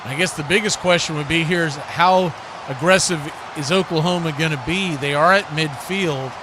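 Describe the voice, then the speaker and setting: A man's voice: a little high, moderate pace, background noise. A man giving live play-by-play commentary on a football game.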